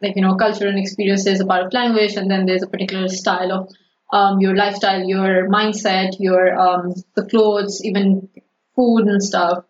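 A woman from Pakistan whose first language is Urdu, chatting casually as a podcast guest and pausing often with fillers and restarts. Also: mid-range voice, little pitch movement, slight background noise. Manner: hesitant, many fillers and restarts. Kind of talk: casual conversation. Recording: dull lo-fi podcast audio.

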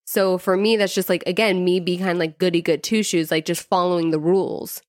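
A woman's voice: lowish voice, steady tone, fairly quick, no echo, studio quality. A woman telling personal stories in casual conversation, informally, with "like" sprinkled through her sentences. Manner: informal, peppers speech with "like". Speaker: podcast co-host. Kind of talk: casual chat, personal storytelling.

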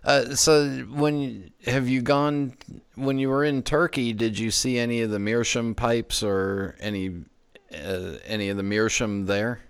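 A man hosting a podcast interview, relaxed and quick to laugh along with his guest. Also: mid-range voice, clear, resonant tone. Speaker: podcast host. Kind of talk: casual interview chat.

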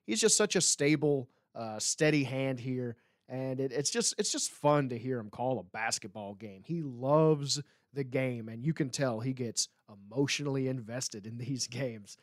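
A man's voice: medium pitch, unhurried, no room sound, clean studio sound. A man hosting a sports podcast in a casual, chatty style. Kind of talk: casual chat.

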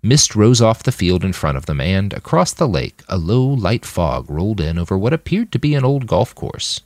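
A man reads scripted fiction as narrator. His voice sits low and moves widely in pitch, and the recording is dry with no audible room. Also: big pitch swings, moderate pace, darkish tone, no echo. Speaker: audiobook narrator.

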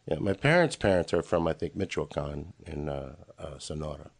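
A man's voice: extremely low pitch, lively intonation, normal speed, vocal fry, breathy edge. A man in his late forties from Los Angeles with an American accent, telling casual, rambling, joking stories as a podcast guest. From Los Angeles, USA. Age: late 40s. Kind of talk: casual conversational storytelling.

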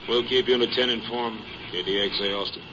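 An actor playing a brisk, efficient police dispatcher heard over a two-way radio in a 1950s western radio drama. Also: male voice, lowish voice, steady tone, pushed and bright. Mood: businesslike. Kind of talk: 1950s radio drama acting, clipped radio procedure. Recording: muffled, hissy old radio recording.

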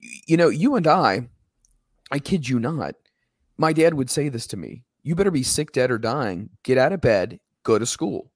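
A man co-hosting a casual wrestling podcast, a little hoarse, talking emphatically and ranting at times. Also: medium pitch, moderate pace, no room sound, studio quality. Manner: emphatic, ranting, animated when quoting others. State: slightly hoarse.

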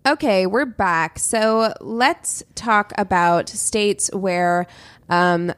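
A woman's voice: mid-range voice, dry recording. Chatty woman podcast host, dry sound. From Missouri. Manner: chatty, self-interrupting, reads news items aloud. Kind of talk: casual podcast chat.

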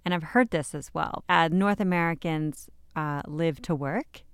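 Smooth-voiced woman hosting a podcast. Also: low voice, measured pace. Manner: warm, affirming interviewer, many small acknowledgements. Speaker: podcast host. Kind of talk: interview conversation.

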